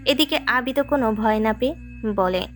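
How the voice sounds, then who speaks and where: Woman narrating romance, slightly high voice. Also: normal speed. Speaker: story narrator. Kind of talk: scripted narration of a romantic story. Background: background music.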